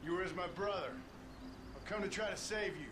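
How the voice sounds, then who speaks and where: A man's voice: high voice, brisk, crowd noise, natural voice. A man playing an earnest, guarded Old West gunslinger and former outlaw in a video game. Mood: pleading, urgent. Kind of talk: video game cutscene acting.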